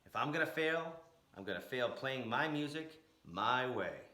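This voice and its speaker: A man's voice: medium pitch, expressive, a little slow, bright, forceful tone. A man voicing a proud, stubborn blues musician in a storybook, speaking in emphatic phrases. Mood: defiant. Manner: emphatic, defiant, clipped phrases. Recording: roomy, echoing room sound.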